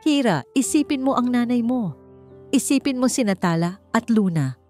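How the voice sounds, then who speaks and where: A woman narrator acts a loyal female best friend in a romance story, insistent and coaxing. She sounds persuasive over quiet background music. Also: on the high side, lively intonation, moderate pace, voice pushed up, natural voice.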